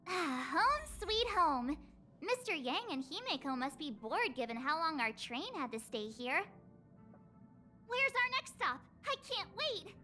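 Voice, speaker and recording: A woman voicing a perky, impatient teenage girl adventurer in a video game, bubbly and expressive. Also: sits high, unhurried, faint music behind, full character voice. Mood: excited, eager. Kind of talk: scripted video game voice acting.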